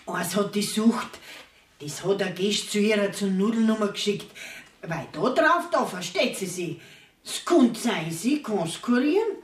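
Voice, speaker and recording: An actress as a plain-spoken Bavarian country widow in a dialect radio play. She sounds set back in an echoing room, talking slowly and in an earthy, matter-of-fact way. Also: mid-range voice, expressive, recorded from a distance, natural voice. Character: plain-spoken middle-aged village widow and mother. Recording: roomy radio studio, off from mic.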